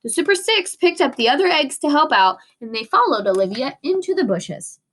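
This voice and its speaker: High, bright-voiced woman teacher reading aloud. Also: fairly quick. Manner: expressive, instructive. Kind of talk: storybook read-aloud with questions to children.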